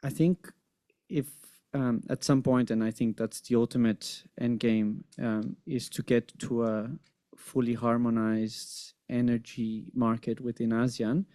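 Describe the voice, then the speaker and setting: Flat-voiced man on a panel. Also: medium pitch, no echo, studio quality. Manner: measured, frequent ums. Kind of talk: panel discussion.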